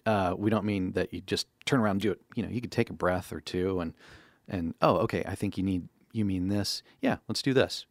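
A man's voice: deep voice, a little fast, dry recording. A man co-hosting a podcast, chatting casually and giving advice as a voiceover coach.

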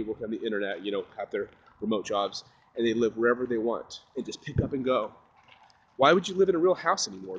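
A man in his thirties walking outdoors with a phone at arm's length, chatting loosely and breaking into improvised rap. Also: high voice. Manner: loose, rambling, breaks into rhythmic rap and laughs. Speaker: rapper and vlogger. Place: outdoors at an RV park by a lake. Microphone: phone held at arm's length while walking.

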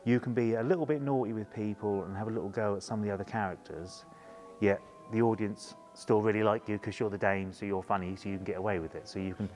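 A man's voice: lowish voice, darkish tone. A man in his forties chatting casually in an interview as a pantomime actor. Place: indoor theatre bar room. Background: faint music.

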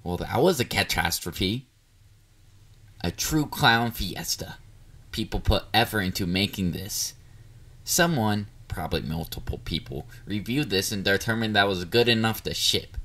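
A man's voice: lowish voice, lively intonation, a little slow, fairly bright tone, heavily acted. A man playing a bubbly, bashful catboy boyfriend in an intimate roleplay audio drama, dorky and easily flustered. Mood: playful, bashful. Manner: playful, dorky, flustered, hesitant. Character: bubbly, bashful young male catboy partner.

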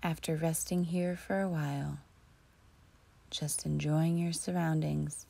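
Female meditation guide: very slow, soothing delivery, soft mellow tone, breathy and near-whispered. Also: low voice, level tone. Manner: soothing, slow and deliberate with long pauses. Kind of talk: guided imagery relaxation narration.

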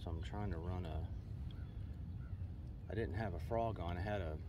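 A man's voice: deep voice, moderate pace. A man, chatting casually and rambling a bit in an easygoing, self-deprecating fishing vlog. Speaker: fishing vlogger. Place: inside a parked car; outdoors on a kayak on a lake. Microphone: phone camera at arm's length; camera mounted in front of a kayak. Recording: distant, dull mic with loud wind and water noise.

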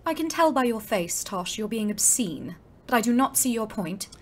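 An actress playing a prim, naive, easily shocked young ambulance driver, earnest and prissy, in an audio play. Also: female voice, a little high, sing-song, moderate pace. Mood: prim, puzzled.

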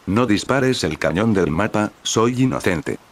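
A playful young wizard in a storybook, calm and teasing, as a man reads him aloud. The voice is deep and low. The delivery stays even. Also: measured pace.

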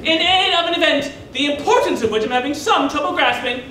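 A man's voice: extremely high pitch, fairly quick, bright, forceful tone. A man voicing an aggrieved, self-pitying older mother who guilt-trips her son, indignant and haughty, in a stage monologue. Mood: martyred. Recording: echoey room, distant mic, faint noise.

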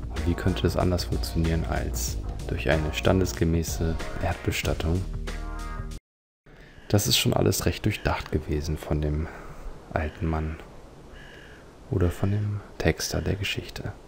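Low, creaky-voiced man commentating. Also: steady tone, a little slow, over music. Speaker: let's player. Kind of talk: game commentary.

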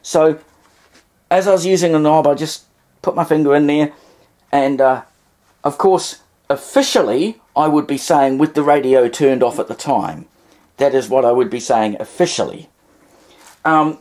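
A man's voice: mid-range voice, darkish tone. A man giving a casual, unscripted demonstration in a hesitant, rambling way with wry asides. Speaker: vintage radio hobbyist demonstrating.

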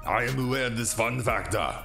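A man voicing a literal-minded robot sidekick, gravelly, with stiff, formal, declamatory phrasing, in a comedy review show. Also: mid-range voice, animated, fairly quick, sharp tone. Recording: music playing under the voice.

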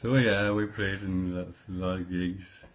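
A man in his fifties, soft-spoken, giving short, easygoing answers that often end in "eh", recorded at home on a close phone camera while sitting with his guitar. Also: low-pitched, steady tone, moderate pace. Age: 50s. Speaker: musician interviewee. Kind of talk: casual interview chat. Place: small indoor room at home, playing guitar.

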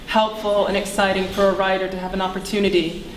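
Female writer, measured formal address, mid pitch, very echoey noisy hall. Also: little pitch movement, distant mic. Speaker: playwright introducing a reading. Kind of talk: public address to an audience. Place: large indoor hall with an audience.

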